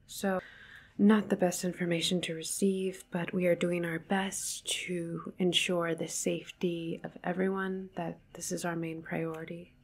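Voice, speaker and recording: Woman acting as female doctor, ASMR roleplay, breathy half-whisper, lowish even voice, hesitant, reassuring, clinical. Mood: calm, clinical. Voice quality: breathy, soft, partly whispered. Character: calm, reassuring female government doctor at quarantine facility. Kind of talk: ASMR medical roleplay, soft-spoken.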